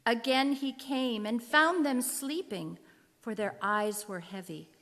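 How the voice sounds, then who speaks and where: A woman narrating scripture in a deliberate, clearly phrased way, in a reverberant hall. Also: on the high side, fairly bright tone. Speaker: narrator in a church readers' theater.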